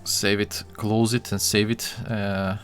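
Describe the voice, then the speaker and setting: A man's voice: on the low side, level tone, takes it slowly, a bit bright, soft background music. A young man from Bulgaria chatting with his live-stream viewers while he models, full of fillers and restarts.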